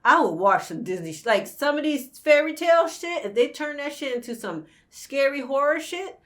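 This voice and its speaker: A woman's voice: high voice, animated, normal speed. A young woman hosting a reaction video, talking bluntly and swearing freely as she comments.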